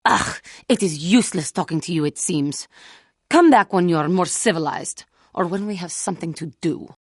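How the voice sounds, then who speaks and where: An actress playing a solemn, haunted alien cat-woman Jedi in a video game, husky and formal, with guarded intensity. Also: on the low side, animated, darkish tone, no room sound, studio quality. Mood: bitter, indignant. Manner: formal, measured, earnest speech with guarded intensity. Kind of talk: video game character dialogue.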